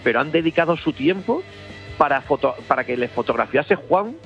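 Man, festival organizer, eager and rambling, muffled radio sound with soft music under it. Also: fairly high pitch, lively intonation, moderate pace, gravelly. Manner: enthusiastic, rambling with many restarts. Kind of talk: casual radio interview.

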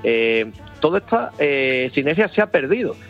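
Man, festival organizer, eager and rambling, muffled radio sound with soft music under it. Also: medium pitch, very animated, normal speed. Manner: enthusiastic, rambling with many restarts. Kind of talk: casual radio interview.

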